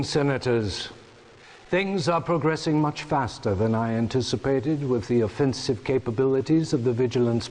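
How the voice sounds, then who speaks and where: A man acting a smug, menacing chairman of a totalitarian regime in a game cutscene, with pompous, measured speechmaking over noisy audio. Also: medium pitch, a little mellow, lightly acted. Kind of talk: theatrical villain monologue.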